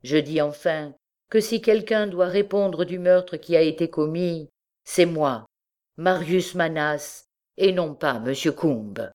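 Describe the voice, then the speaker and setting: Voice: mid-range voice, slow pace, darkish tone, close to an everyday voice. A narrator voicing a resolute young man who speaks solemnly and emphatically in a dramatic storybook reading. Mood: solemn resolve. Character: resolute, grave young man confessing to a crime.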